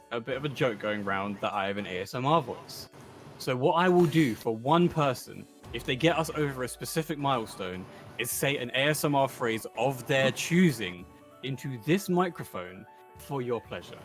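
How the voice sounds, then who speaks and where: A man with a deep, low cadence doing excitable, jokey live commentary on a fighting-game tournament. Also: moderate pace, faint music behind. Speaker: esports commentator.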